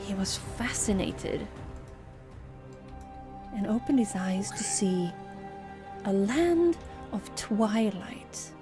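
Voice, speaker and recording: A woman streamer improvising a bedtime story in a soft, hushed voice that often drops to a whisper. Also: on the high side.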